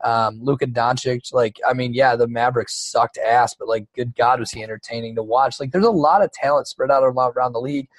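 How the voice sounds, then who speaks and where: A man's voice: mid-range voice, brisk, few pauses, no echo, rough recording. A man co-hosting a podcast, chatting casually and profanely about basketball, rambling with lots of fillers. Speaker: podcast co-host. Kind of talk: casual sports chat.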